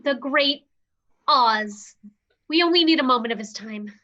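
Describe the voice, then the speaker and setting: An earnest young woman, played by a woman who asks politely and pleads. Her voice is high and bright, heard through dull, lo-fi livestream audio. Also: expressive, measured pace, full character voice. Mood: pleading.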